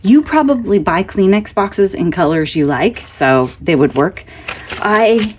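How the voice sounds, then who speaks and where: A woman's voice: medium pitch, animated, measured pace, a little mellow, slight background noise. A woman with a congested voice gives a casual, chatty craft update as host, rambling conversationally with self-joking asides. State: congested from allergies.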